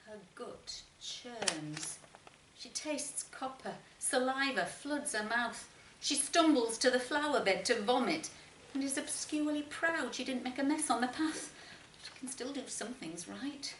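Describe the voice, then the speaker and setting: A woman reading literary fiction aloud in an expressive, measured narration with emphatic phrasing. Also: on the high side. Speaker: short story reader. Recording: roomy, distant mic, faint noise.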